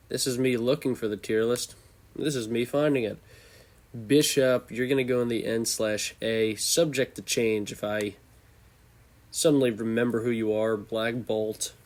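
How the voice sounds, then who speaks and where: A young man with a congested voice, hosting a casual, off-the-cuff tier-list commentary and thinking aloud as he goes. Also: medium pitch. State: congested from a cold. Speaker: host.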